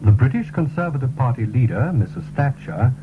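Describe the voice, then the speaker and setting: A man reading a formal, scripted radio news bulletin in a measured way, on a 1970s broadcast. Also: medium pitch, expressive, music in the background. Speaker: radio newsreader. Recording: tinny, narrow-band 1970s radio broadcast recording.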